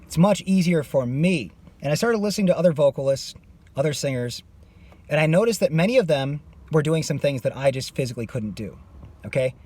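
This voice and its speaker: A man's voice: on the high side, expressive, brisk, darkish tone, slightly rough. A man teaching a singing lesson. He is losing his voice and stops his explanation to demonstrate screams. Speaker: vocal coach.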